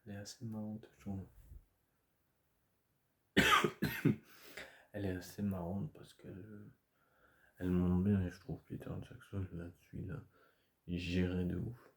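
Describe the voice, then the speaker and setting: A man talks casually to camera, hoarse and stuffy from a cold. His voice sits very low and he speaks slowly, stopping and restarting often. The chat wanders, full of fillers. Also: wildly swooping pitch, fairly bright tone. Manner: hesitant, rambling, many fillers and restarts. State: hoarse, stuffy-nosed, coughing. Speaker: video creator.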